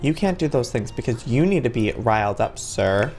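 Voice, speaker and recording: A man in his twenties giving playful gameplay commentary, excitable, with exaggerated reactions. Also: medium pitch, moderate pace, faint music behind. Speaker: gaming video host.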